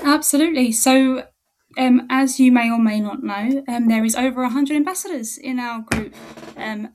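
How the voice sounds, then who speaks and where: A woman's voice: on the high side, a little mellow. A woman co-hosting a podcast, upbeat and using frequent ums. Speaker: podcast co-host. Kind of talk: casual podcast chat.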